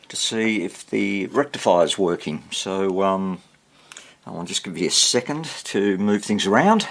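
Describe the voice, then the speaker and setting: A man giving a casual hands-on repair demonstration, muttering to himself and pausing between short phrases as he thinks aloud. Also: medium pitch, gravelly, fairly bright tone. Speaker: hobbyist radio repairer presenting his workshop video.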